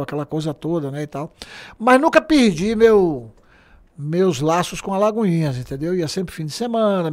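A man, a guest telling stories in a casual, joking way and laughing often. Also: sits high. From Bahia, Brazil. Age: 60s. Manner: animated, jokey, laughs often. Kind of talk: casual storytelling interview.

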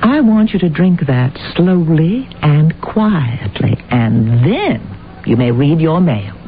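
An actress playing a middle-aged housekeeper, bossy but caring, scolding and fussing in an old-time radio drama. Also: female voice, sits low, lively intonation, takes it slowly. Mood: tart, firm. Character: bossy, caring, plain-spoken housekeeper to a rich man. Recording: thin phone-like old radio, faint noise.

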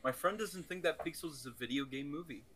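A man's voice: a little high, fast talker, bright, forceful tone. A man co-hosting a podcast, chatting casually and playfully about movies with an enthusiastic manner. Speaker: podcast co-host.

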